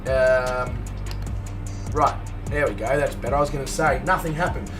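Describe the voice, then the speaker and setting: A hoarse Australian man recovering from a lost voice, chatting casually with viewers while live-streaming a flight simulator. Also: medium pitch, fairly even intonation, normal speed, far from the mic. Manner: chatty, greets viewers, thinks aloud with many fillers. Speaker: game streamer. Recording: a bit off-mic with music behind.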